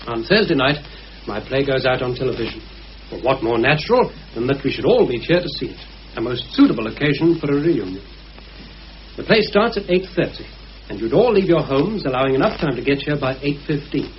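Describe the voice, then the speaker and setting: An actor as calculating British plotter, lively intonation. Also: male voice, medium pitch, lightly acted. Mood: methodical, persuasive. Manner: measured, methodical, persuasive. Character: Richard, calm calculating war veteran plotting a murder. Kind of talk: mid-century British radio drama acting. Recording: muffled, hissy old radio recording.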